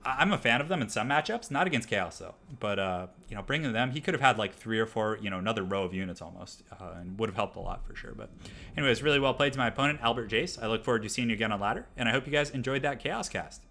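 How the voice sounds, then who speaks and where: A man with a voice hoarse from a cold, casually commentating a replay of a strategy game for viewers. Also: medium pitch, animated, fast talker, pushed and bright. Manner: casual, chatty, addresses viewers as guys. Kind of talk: gameplay commentary.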